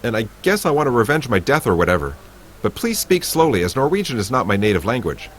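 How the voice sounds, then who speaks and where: The offhand ghost of a murdered king, as a man reads him out, casual and a little sheepish. His voice swings lively up and down, higher than the reader's own. A faint hum of room sound sits behind him. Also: fast, natural voice.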